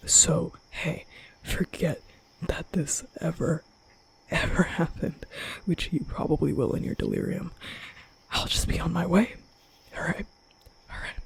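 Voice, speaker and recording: A man playing a nervous, kind-hearted young vampire in an ASMR roleplay, speaking softly and often whispering, with hesitant, flustered stammering. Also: a little high, steady tone, a little slow, heavily acted, low-quality recording. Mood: awkward, apologetic. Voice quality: soft, breathy, often whispering.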